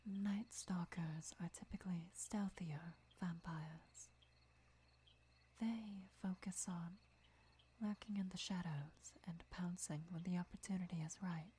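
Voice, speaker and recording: A woman playing a gentle, devoted vampire lover who teaches patiently in a breathy, measured whisper for an ASMR roleplay. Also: fairly low pitch, fairly even intonation, slight background noise. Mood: calm, instructive. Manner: measured, deliberate, soft-spoken explaining.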